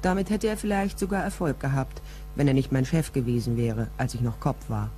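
A woman's voice: a bass voice, a little fast, mellow, a little hiss or hum. An actress playing a cool, guarded woman private detective in a crime radio drama, wry and matter-of-fact. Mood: wry, reflective.

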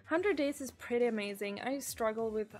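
A woman in her thirties from Sweden with a Swedish accent, chatting casually and giggling as she reads viewer messages on a live stream from a small room, into a desk mic on a boom arm. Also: faint music behind. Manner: giggly, chatty, drawn-out pauses.

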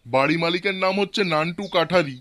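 A man voicing excitable village fathers and neighbours in a comic Bangla cartoon, chatty and theatrical. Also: fairly high pitch, fast, close to an everyday voice. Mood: curious.

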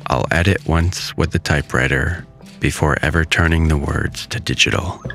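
A man in his thirties giving calm, reflective scripted voice-over narration at an unhurried pace. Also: a bass voice, gravelly. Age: 30s. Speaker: vlogger. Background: faint music.

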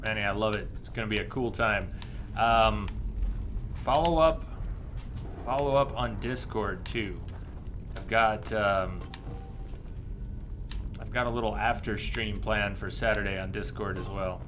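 A man's voice: on the low side, measured pace, long pauses, noisy background. A man in his thirties giving casual, easygoing game commentary, thinking aloud and chatting with viewers. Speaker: live game streamer. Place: indoor room, streaming at a desk.

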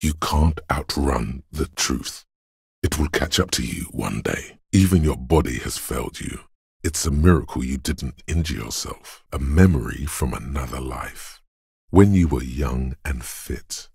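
An extremely low, husky voice, speaks as the grave inner voice of a battered detective's body in a video game. The delivery is measured and stoic, with a heavy gravelly rasp. Each line lands deliberately, in scripted second-person narration. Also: male voice, a little mellow, dry recording, studio quality, heavily acted. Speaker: voice actor.

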